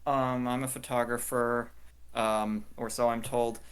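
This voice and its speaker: A woman's voice: very deep voice, level tone, unhurried, clear, resonant tone. A woman photographer on a video call, talking in a dry, self-deprecating way with many hesitations. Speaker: photographer guest. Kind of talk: casual chat on a video call.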